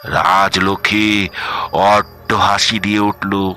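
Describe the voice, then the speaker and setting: A man narrating a scripted horror story dramatically, measured and suspenseful, with pauses between phrases. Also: deep voice, monotone, sharp tone, soft background music.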